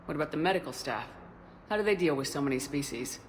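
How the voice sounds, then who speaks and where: A woman's voice: low voice, lively intonation, fast. Composed female military commander, background noise. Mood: composed, inquiring. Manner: direct, composed. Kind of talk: game dialogue.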